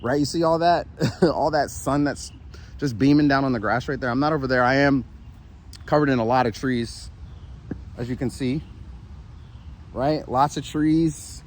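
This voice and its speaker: A man's voice: mid-range voice, measured pace, pauses often, slight background noise. A man giving an earnest spiritual pep talk while he walks outdoors in the shade, tired and sweating, often repeating himself and asking "right?". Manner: earnest, repetitive, with frequent "right?" and restarts; walking while he talks. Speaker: spiritual motivational vlogger. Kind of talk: casual encouraging monologue.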